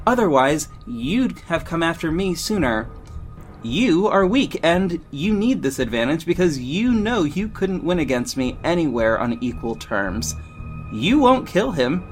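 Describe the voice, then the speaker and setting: Man acting a sassy, dramatic hero-narrator. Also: a little high. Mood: scornful defiance. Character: sassy, defiant male hero narrating his own story. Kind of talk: first-person audio drama narration and dialogue.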